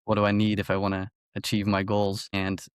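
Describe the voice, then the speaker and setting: Low, even-toned young man from Belgium. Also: brisk, clean tone, dry recording, studio quality. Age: early 20s. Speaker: college golfer, interview guest. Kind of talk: casual interview storytelling.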